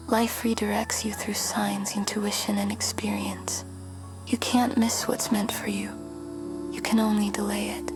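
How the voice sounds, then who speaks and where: A woman in a whisper, guiding a meditation in a slow, soothing way. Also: medium pitch. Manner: slow, soothing, flat delivery. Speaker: meditation guide. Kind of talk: guided meditation. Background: music.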